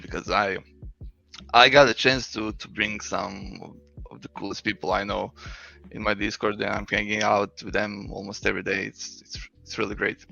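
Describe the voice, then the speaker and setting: A man with a Croatian accent talks casually as a guest, hesitant and joking. His voice is on the low side with a lot of creak. The audio is a bit muffled, with faint music behind. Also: sharp tone. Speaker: interview guest, 3D designer. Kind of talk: casual unscripted chat.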